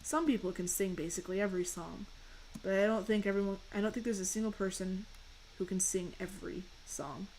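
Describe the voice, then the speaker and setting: A young woman with a fairly deep voice, chatting casually and rambling while she streams a game and answers questions from chat. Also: steady tone, brisk, few pauses, darkish tone, slight background noise, low-quality recording.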